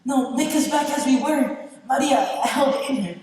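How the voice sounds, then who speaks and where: A woman playing an anguished, lovestruck young man in a stage play, halting and emphatic. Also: high-pitched, darkish tone, natural voice. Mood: anguished demanding. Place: large indoor theater stage. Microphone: distant camera. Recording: echoey theater stage from far off.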